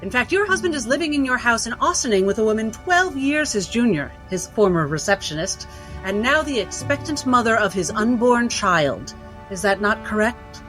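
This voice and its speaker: A woman's voice: fairly high pitch, lively intonation, normal speed, fairly bright tone, faint music behind. A woman playing a coldly probing interrogator in a 1960s-set drama, formal, pointed and pressing. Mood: accusing. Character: relentless, coldly probing female interrogator questioning a doctor. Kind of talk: 1960s cinematic drama acting.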